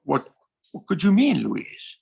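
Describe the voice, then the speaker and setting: A man's voice: fairly high pitch, wildly swooping pitch, slow pace, vocal fry, a little mellow, husky, exaggerated voice. A man playing an ardent, tender young French king in a courtly, theatrical audio drama style. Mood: earnest.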